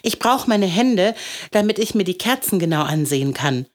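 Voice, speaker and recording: A woman's voice: mid-range voice, expressive, normal speed, voice pushed up, natural voice. A woman voicing an earnest, easily downcast little schoolboy in a storybook, with a solemn, childlike way of talking.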